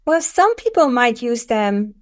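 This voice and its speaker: A middle-aged woman from Hungary with a Hungarian accent, a guest explaining her work in a podcast interview with earnest, measured phrasing. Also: fairly high pitch, big pitch swings. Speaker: guest, education author.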